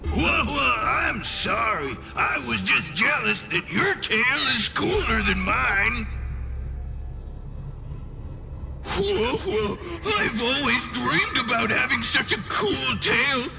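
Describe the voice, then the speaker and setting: A man's voice: very high voice, very animated, takes it slowly, vocal fry, hardly any pauses, loud music behind the voice, full character voice. A man playing a brash, sarcastic fiery tail spirit in a video game, with a theatrical, sneering, grumbling manner. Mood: mocking, gushing.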